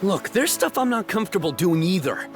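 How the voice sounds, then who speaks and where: A brash, defensive young companion, played by a woman, talks bluntly with huge swings in pitch. The animated game delivery is gruff and bossy, with faint music behind it. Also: a little fast, close to an everyday voice. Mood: irritated, insistent. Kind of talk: animated video game voice acting.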